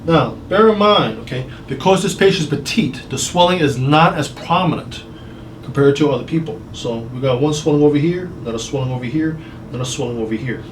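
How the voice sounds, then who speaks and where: A man's voice: mid-range voice, a little fast. A man working as a chiropractor, talking a patient through an exam with short questions and explanations. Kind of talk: clinical consultation and hands-on examination talk. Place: indoor chiropractic clinic. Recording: roomy, noisy clinic, distant mic.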